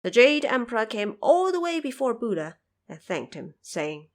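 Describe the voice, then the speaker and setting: A woman narrating a fantasy tale as a scripted audio drama, with theatrical, deliberate phrasing. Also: on the high side, very animated. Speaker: storyteller, narrator.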